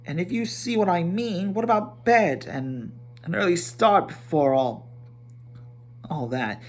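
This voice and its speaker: A man's voice: sits high, unhurried, a little mellow, pitched above the performer's own voice. A man voicing a timid, polite little hobbit in a storybook reading, fussy and hesitant, rambling courteously. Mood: flustered, polite.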